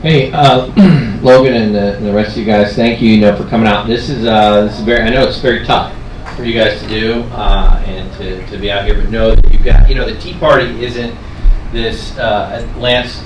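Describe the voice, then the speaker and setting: A man's voice: fairly low pitch, normal speed. A man in his forties addressing a community meeting in a plainspoken, hesitant way. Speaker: meeting attendee. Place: diner dining room. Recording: roomy, distant, noisy diner.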